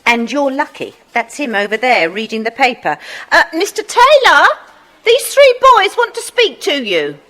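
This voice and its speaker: An actress playing an unfriendly hotel receptionist, brusque and offhand, in a children's audio drama. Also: female voice, high-pitched, big pitch swings, a little slow, bright, forceful tone, full character voice.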